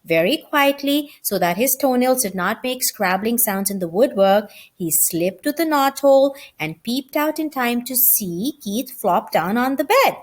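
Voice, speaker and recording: A woman reading a children's story aloud as an expressive narrator. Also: a little high, a little slow, few pauses.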